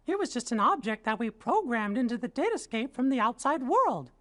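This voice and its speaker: A man voicing a small cartoon mouse king in a video game, with a squeaky falsetto and an earnest, gentle, reassuring manner. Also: very animated, a little fast, exaggerated voice. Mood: apologetic.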